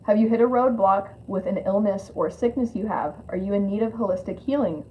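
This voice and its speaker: A woman's voice: medium pitch, a little fast, a little mellow. A woman with a lisp giving a spiritual card reading on a live stream, moving between reading aloud and informal, rambling chat. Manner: informal, rambling asides with swearing. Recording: dull lo-fi audio with clear noise.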